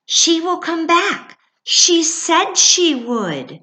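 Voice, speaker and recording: Storybook baby fawn, played by a woman: high, drawn-out, patient and insistent, echoey room. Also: sing-song, pushed and bright, full character voice. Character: small lost fawn, young, patient and trusting. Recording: echoey, roomy sound.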